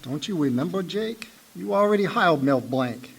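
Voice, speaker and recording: A man's voice: fairly high pitch, expressive, normal speed, creaky, a little hiss or hum. An actor playing a hapless sound effects man, a bumbling sidekick in a comic radio drama. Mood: matter-of-fact.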